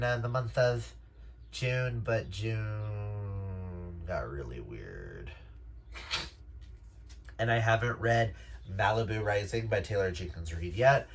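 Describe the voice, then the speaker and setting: Male book vlogger, lowish voice, emphatic and gushing casual delivery, noticeable background noise. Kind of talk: casual chat to viewers. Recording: noisy room background.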